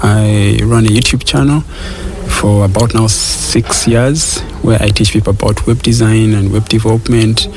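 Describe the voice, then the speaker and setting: A man's voice: fairly low pitch, steady tone, moderate pace. A young man from Uganda being interviewed at a conference, talking fluently and in a friendly way about his work and how he got involved. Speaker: interviewee, event organizer. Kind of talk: casual interview answer. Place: conference venue. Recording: busy crowd around him.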